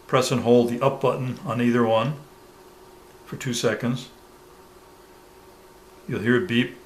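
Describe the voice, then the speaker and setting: A man with a mid-pitched voice walks through a gadget demonstration step by step. His delivery is halting, with pauses mid-sentence as he works. Noticeable background noise sits behind him. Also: fairly bright tone. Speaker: product reviewer giving a demonstration. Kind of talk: hands-on tutorial, step-by-step explanation. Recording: clear background noise, room ambience.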